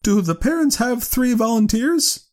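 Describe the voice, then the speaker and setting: Man putting on a prim, high-pitched woman. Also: expressive, a little slow, darkish tone. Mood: surprised. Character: prim, earnest, agreeable woman office worker. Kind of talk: dramatised short story reading.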